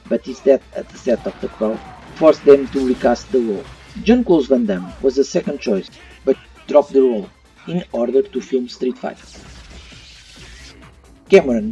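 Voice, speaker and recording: A man narrates an opinionated review as the host, speaking English as a second language. His delivery is animated and his tone is soft and mellow. He sounds set back from the microphone, with faint music underneath. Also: mid-range voice, far from the mic, muffled recording. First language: not English. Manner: non-native phrasing. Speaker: host of a retro gaming video channel.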